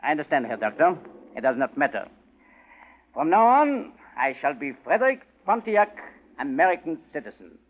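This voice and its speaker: An actor playing a cold, calculating Nazi prison commandant with a German accent, clipped and commanding, in a 1940s radio drama. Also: male voice, on the high side, big pitch swings, normal speed, narrow, tinny audio. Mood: resolute. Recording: thin, noisy 1940s radio recording.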